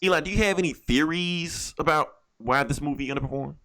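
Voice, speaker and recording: A man's voice: a little high, brisk, fairly bright tone. A man hosting a podcast with casual, opinionated movie chat, swearing freely and repeating phrases for emphasis. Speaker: podcast host.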